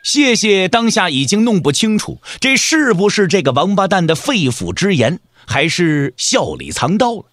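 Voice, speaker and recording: A man's voice: high voice, very animated, normal speed, hardly any pauses, a bit bright, no room sound. A man narrating a martial-arts audio drama in a dramatic scripted style.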